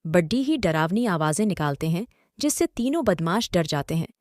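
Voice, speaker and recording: A woman's voice: on the low side, big pitch swings, moderate pace, a little mellow, no echo, studio quality. A woman narrating a scripted story as host and storyteller.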